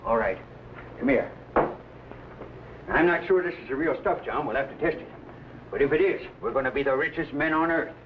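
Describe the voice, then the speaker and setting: An actor playing an excitable, secretive frontier sawmill man in an old adventure drama, eager and conspiratorial. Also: mid-range voice, fairly quick, long pauses, far from the mic, natural voice. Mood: secretive, urgent. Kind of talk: vintage adventure drama acting. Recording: dull, noisy vintage drama soundtrack.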